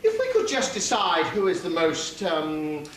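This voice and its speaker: Brash comic panto dame, unhurried and hugely animated, chatty, in a distant echoing hall. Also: medium pitch. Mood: brash, self-important. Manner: broad, chatty, calls to the audience. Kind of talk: stage pantomime acting. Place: theatre stage, live amateur pantomime. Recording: distant, very echoey, noisy stage recording.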